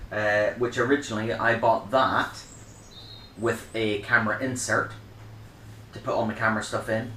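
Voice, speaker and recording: A man's voice: lowish voice, fairly bright tone, slight background noise. A middle-aged man in a casual vlog, rambling and chatty with frequent ums as he shows his camera bags. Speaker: vlogger reviewing camera bags. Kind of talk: casual show-and-tell. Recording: echoey room.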